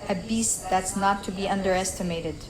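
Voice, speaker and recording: A woman voicing a composed, knowing female seer with formal, measured phrasing in a tabletop game. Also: medium pitch, steady tone, crowd noise, close to an everyday voice, rough recording. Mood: thoughtful. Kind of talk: improvised tabletop roleplay dialogue.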